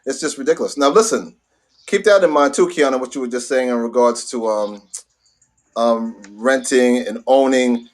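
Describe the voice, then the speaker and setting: A man's voice: medium pitch, moderate pace. A man chatting casually as a radio host, emphatic and repeating phrases for stress.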